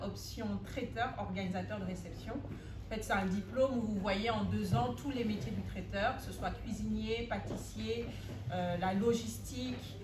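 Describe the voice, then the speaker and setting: Woman, late thirties, from Gabon, interview storytelling, hesitant phrasing, medium pitch, reverberant room. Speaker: interview guest, chef. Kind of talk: spoken life story in an interview. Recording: echoey room, clear background noise.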